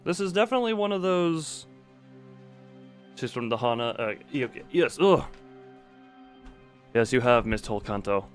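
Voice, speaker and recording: Chatty male streamer, lively swinging delivery. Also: medium pitch, moderate pace, pauses often, faint music behind. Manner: chatty, muttering asides to viewers. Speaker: live streamer. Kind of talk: casual game stream commentary mixed with reading dialogue aloud.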